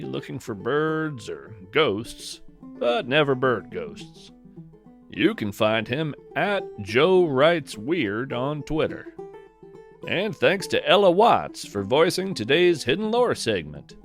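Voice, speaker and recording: An American man narrates a whimsical scripted podcast in a wry, earnest way. His delivery is slow and melodic, with lively swings of pitch. Soft guitar plays faintly behind him.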